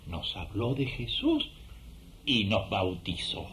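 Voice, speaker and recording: Humble, eager villager, wildly swooping voice. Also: male voice, lowish voice, huge pitch swings, takes it slowly, a bit bright, slight background noise, exaggerated voice. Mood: welcoming, open. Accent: Rioplatense Spanish. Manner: hesitant, earnest, effusive. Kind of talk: radio drama acting.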